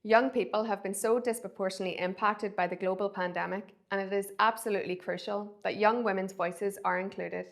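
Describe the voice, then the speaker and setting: Woman host, gravelly voice, mid pitch, introducing speakers, video call. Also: fairly even intonation, brisk, hardly any pauses.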